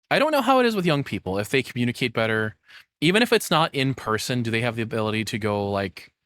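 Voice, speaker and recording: A man's voice: lowish voice, fairly bright tone, no room sound, studio quality. A man, probably in his thirties, streaming casual reaction commentary in a candid, self-deprecating, rambling way.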